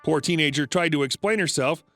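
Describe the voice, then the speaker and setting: A man narrating reddit stories in a scripted read, flat and even with sudden pitch jumps. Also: fairly quick, faint music behind.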